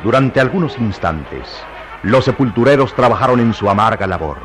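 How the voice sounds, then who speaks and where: An actor playing a grave, literary storyteller, narrating a gloomy mystery serial in measured, dramatic tones. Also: male voice, mid-range voice, expressive, a bit bright, lightly acted. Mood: grave, somber. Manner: solemn, measured. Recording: music under the narration.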